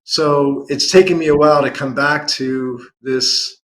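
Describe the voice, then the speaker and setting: A man's voice: on the high side, fairly even intonation, measured pace, fairly bright tone, poor audio. A man from San Francisco, a guest author in a casual interview, speaking thoughtfully with small restarts. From San Francisco, USA.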